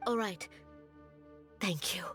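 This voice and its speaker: A woman's voice: mid-range voice, takes it slowly, fairly bright tone, faint music behind. A woman playing a worried, pleading village mother in a video game, polite and anxious. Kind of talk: scripted video game voice acting.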